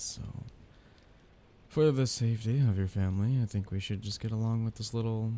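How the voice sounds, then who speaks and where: A man playing a soft-hearted, nervous young mafia heir in an intimate ASMR romance roleplay, speaking softly, haltingly and apologetically. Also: fairly low pitch, slight background noise, close to an everyday voice.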